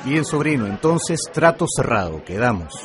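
An actor with a Peruvian accent playing a shrewd older moneylender in a radio drama, familiar but firmly transactional. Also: male voice, medium pitch, big pitch swings, moderate pace, crowd noise, close to an everyday voice. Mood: shrewd, insistent.

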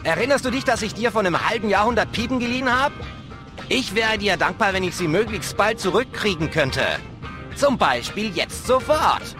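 Pushy, sarcastic male cop played by an actor, very high voice, swooping intonation; radio play. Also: animated, moderate pace. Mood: falsely cheerful. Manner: insistent, sarcastic. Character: pushy, money-demanding male police colleague Jeffrey. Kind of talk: audio drama acting of a video game story. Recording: music under the voice.

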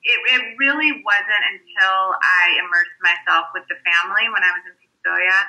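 A woman's voice: fairly low pitch, normal speed, bright, forceful tone, low-quality recording. An American woman talking as an interview guest in a casual, reflective way, often restarting her sentences. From United States.

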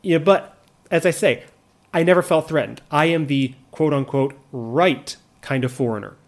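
A man's voice: a little high, normal speed. A man in his thirties, an English speaker, talking earnestly and reflectively about his own experiences, with frequent restarts and asides. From United States. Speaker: vlogger living abroad. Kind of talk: reflective personal monologue.

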